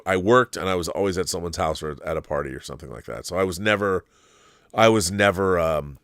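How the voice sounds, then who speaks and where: A middle-aged American man hosts a casual livestream in a low voice. He talks in a rambling, conversational way, doubling back and restarting his thoughts. The sound is very dry, with no room echo. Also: fairly bright tone. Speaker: host of a live YouTube show. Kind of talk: casual livestream monologue answering viewer comments.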